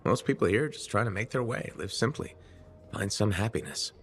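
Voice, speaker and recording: An actor playing a laconic, steady freelance soldier in a video game, with a dry, deadpan manner. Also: male voice, lowish voice, fairly quick, vocal fry. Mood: calm, sympathetic. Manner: deadpan, brief replies.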